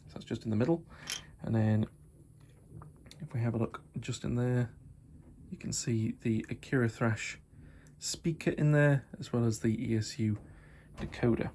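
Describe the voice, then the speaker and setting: A slightly croaky man chatting casually as he shows off and tests a model locomotive, repeating his praise and filling pauses with ums. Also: mid-range voice, darkish tone, a little hiss or hum. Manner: chatty, repeats praise words, many fillers. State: croaky from hay fever and little sleep. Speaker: model railway hobbyist reviewer. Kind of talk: casual unboxing and test-run commentary.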